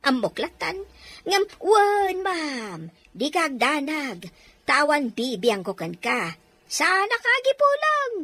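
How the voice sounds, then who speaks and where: A woman's voice: sits high, very animated, slow pace, a bit bright, dry recording, full character voice. A woman playing a put-upon, servile older maid with a Filipino accent in a melodrama, whining and exclaiming deferentially. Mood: nervous, placating. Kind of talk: melodramatic audio drama acting. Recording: music behind the voice.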